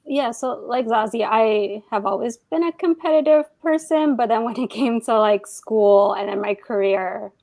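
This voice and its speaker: A woman's voice: a little high, normal speed, gravelly, few pauses, a little mellow, no room sound. A woman lawyer on a panel, speaking casually with many fillers. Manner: casual, lots of "like". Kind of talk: panel discussion.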